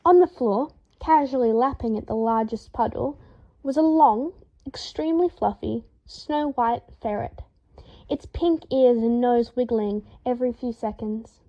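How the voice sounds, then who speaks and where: A woman reading fiction aloud as an expressive, scripted narration, with emphatic delivery. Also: fairly high pitch, a little slow, lo-fi sound. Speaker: podfic narrator.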